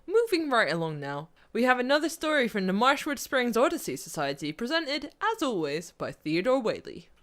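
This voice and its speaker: A woman's voice: a little high, very animated, moderate pace, hardly any pauses, a bit bright. A woman playing a small-town radio presenter in a radio play, with a polished announcer delivery. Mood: presenting. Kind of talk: scripted radio broadcast acting.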